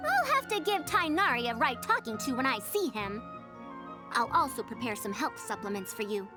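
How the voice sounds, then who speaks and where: A haughty, fussy lady academic from a video game. The voice is high and swoops expressively, with formal, old-fashioned wording and an elder's airs. Music plays behind it. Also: very animated, moderate pace. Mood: fussing, caring. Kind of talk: video game character voice acting.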